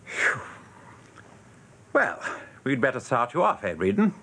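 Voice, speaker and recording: A man's voice: mid-range voice, huge pitch swings, a little slow, bright, forceful tone, a little hiss or hum, heavily acted. An actor playing a world-weary, sardonic English advertising man with a dry, mock-pompous manner in a radio drama. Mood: brisk, jaded. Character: world-weary, sardonic male advertising copywriter showing newcomer around.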